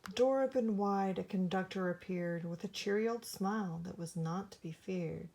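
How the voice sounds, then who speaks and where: A woman reads a rhyming storybook aloud for young listeners. Her voice is mellow and soft-edged, and she tells the tale with theatrical, dramatic expression. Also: medium pitch, normal speed, slight background noise. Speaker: storybook reader.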